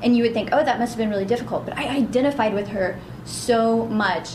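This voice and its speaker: Bubbly young-sounding voice actress, higher voice, roomy echo and noise behind. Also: female voice, quick pace. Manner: bubbly, upbeat. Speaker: voice actress, interview guest. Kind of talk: casual interview chat. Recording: echoey room with background noise.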